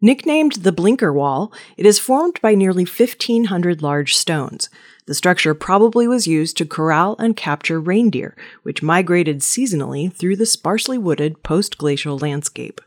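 A woman reading scripted news stories as a narrator. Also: on the low side. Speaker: news reader.

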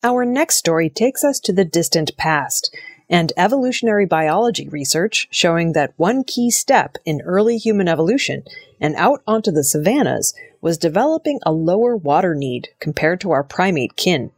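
Female newsreader, lowish register, measured formal scripted narration, dry recording. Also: lively intonation, dry recording. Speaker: audio news reader.

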